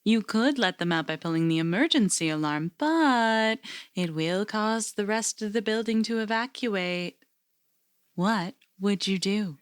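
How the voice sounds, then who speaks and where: A woman playing an unflappable, eerie agency interviewer in an audio drama, measured and clinical as she probes. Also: medium pitch, close to an everyday voice. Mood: composed, probing. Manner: measured, clinical, calmly probing.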